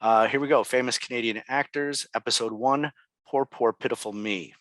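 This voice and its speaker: A man's voice: mid-range voice, moderate pace, a bit bright, dry recording, soft background music. A man reading screenplay scene directions aloud at a table read, as the narrator. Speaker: reader of stage directions.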